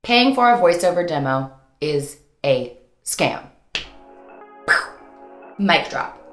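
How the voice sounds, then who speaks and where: Woman host with very lively, swooping pitch. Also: slow pace, reverberant, faint music behind. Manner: playful, theatrical, sing-song flourishes, informal slang. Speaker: host and voice-over coach. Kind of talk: upbeat instructional vlog to camera.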